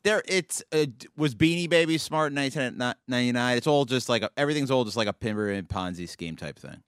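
A man's voice: mid-range voice, fast, a bit bright, clean tone, dry recording. A young man on a podcast, talking casually with hesitant starts and a dry wit.

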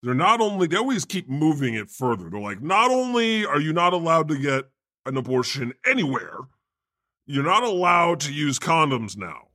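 A man's voice: on the high side, big pitch swings, moderate pace, bright, forceful tone, no echo, studio quality, exaggerated voice. A man playing an irreverent God who hosts a comedy podcast with flippant, profane, teasing banter. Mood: mocking, flippant. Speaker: podcast host.

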